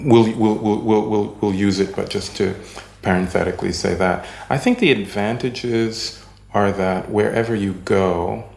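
A man from Haiti whose first language is French, answering interview questions in a halting way, with many pauses and ums as he searches for words. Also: fairly low pitch, steady tone, gravelly, darkish tone, slight background noise. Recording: echoey room.

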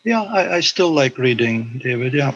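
An older man reflecting on his past in an interview, speaking in a hesitant, halting way. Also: mid-range voice, big pitch swings, fairly bright tone, highs cut off. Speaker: interview guest, former teacher abroad. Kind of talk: recollection in an interview.

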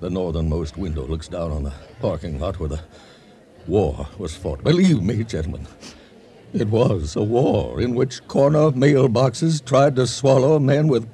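An actor playing an earnest, disgraced general giving solemn, formal testimony in a comic radio drama. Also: male voice, medium pitch, measured pace, dark tone, slightly rough. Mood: solemn, insistent. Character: disgraced, earnest lieutenant general testifying at his court-martial.

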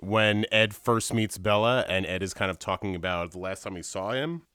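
A man with a fairly low voice hosts a casual movie podcast from a close microphone. The sound is dry and close. He is laid-back, chuckles easily and lets his sentences trail off. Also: moderate pace, bright, forceful tone, clean tone, no echo. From New York State. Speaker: podcast host. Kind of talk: casual chat.

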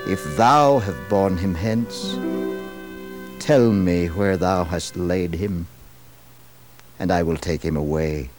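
Radio drama role: male narrator as a grieving woman, sorrowful and pleading, drawn-out slow delivery. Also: sits low, music in the background, pitched below the performer's own voice. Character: grief-stricken woman, Mary Magdalene, tearful and pleading. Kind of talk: 1960s religious radio drama acting.

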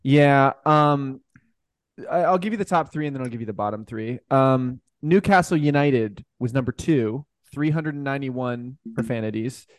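A man's voice: medium pitch, moderate pace, no room sound. A man co-hosting a podcast in casual, amused conversation about soccer. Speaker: podcast co-host.

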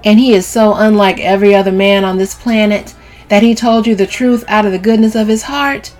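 A woman narrator voicing a brash, sharp-tongued, scornful woman in an audiobook story, with sassy and profane delivery. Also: mid-range voice, fairly even intonation, a little fast, voice pushed up, lightly acted. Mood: sarcastic.

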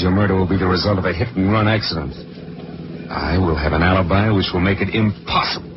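A cold, ambitious American villain from a 1940s radio crime drama, played by an actor. His voice is very low, his speech formal, smug and deliberate. The old recording is muffled and very noisy. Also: close to an everyday voice. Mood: menacing. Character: coldly ambitious, calculating male lawyer turned murderer.

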